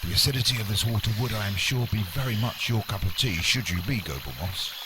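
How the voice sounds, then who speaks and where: A man's voice: fairly low pitch, fast, background noise, natural voice. A man playing a wordy, unflappable, pompous nature presenter in a mock radio documentary, speaking with formal politeness. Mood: unruffled.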